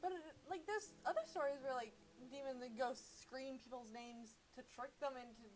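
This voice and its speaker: A woman's voice: sits high, normal speed, rough recording. A young woman co-host chatting casually and reacting to stories in a blunt, mock-exasperated way. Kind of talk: casual chat.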